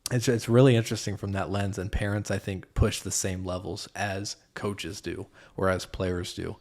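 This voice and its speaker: A man's voice: low-pitched, level tone, moderate pace, a little mellow. A man talking as a podcast guest in casual conversation, hesitant, with many fillers and restarts.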